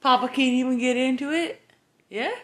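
A woman's voice: high-pitched, normal speed, fairly bright tone. A woman from Virginia with a Southern accent, co-hosting a casual family podcast and teasing bluntly. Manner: teasing, blunt, swears, calls people bud. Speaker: podcast co-host, mother.

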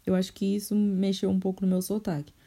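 A Brazilian woman with a Brazilian Portuguese accent, co-hosting a casual podcast chat and telling stories with animated imitations of accents. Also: mid-range voice, quick pace, creaky. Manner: animated storytelling, mimics accents. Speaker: podcast co-host. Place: home in Cork.

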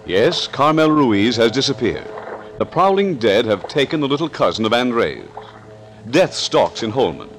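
A man narrating a suspense serial as announcer, with a dramatic, deliberate 1940s radio delivery. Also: medium pitch, very animated, over music.

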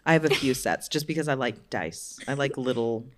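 Woman podcast co-host, low voice, loud and blunt. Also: fairly quick, a little hiss or hum. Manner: loud, blunt, swears freely. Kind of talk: casual group chat and sponsor read.

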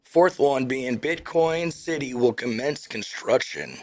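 A man's voice: mid-range voice, expressive, slow, darkish tone. A man co-hosting a podcast, reading news headlines and list items aloud.

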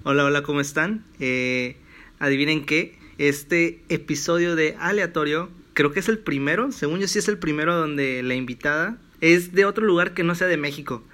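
A man's voice: a little high, normal speed. A young man with a Mexican Spanish accent, hosting a casual podcast chat with jokes and banter. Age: young adult. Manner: relaxed, joking, friendly banter. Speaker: podcast host.

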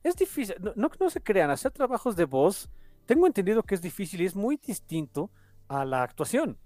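A man's voice: high-pitched, sing-song, a little fast, darkish tone, dry recording. A man with a Mexican accent hosting a podcast, chatting casually in an enthusiastic, rambling way. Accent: Mexican Spanish. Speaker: podcast host.

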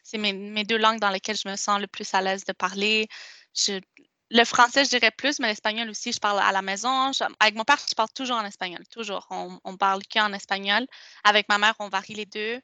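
A woman's voice: medium pitch, steady tone, a little fast, bright, forceful tone, no echo. A nineteen-year-old woman from Montreal with a Québécois French accent, telling her story in a hesitant, casual way with many restarts and fillers. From Montreal, Quebec. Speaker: interviewee.

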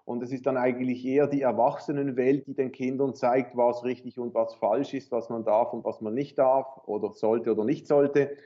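A Swiss-accented man speaks as an interview guest in a conversational way. His voice is mellow and mid-pitched, and it comes through a dull, lo-fi remote call. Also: fairly even intonation, moderate pace, few pauses. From Switzerland. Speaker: podcast guest, clairvoyant medium and author.

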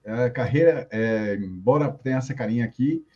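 Man, 50s, guest coach: dark mellow husky voice, relaxed storyteller, dull lo-fi stream audio. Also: mid-range voice, moderate pace. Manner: easygoing storytelling with fillers. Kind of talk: casual interview on a live stream.

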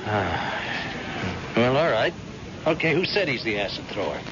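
A man's voice: fairly low pitch, very animated, measured pace. A man acting in an old-time radio drama as a stubborn, proud blind man, hard-boiled, bitter and challenging, in clipped colloquial phrases. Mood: uneasy. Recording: muffled, noisy old radio recording.

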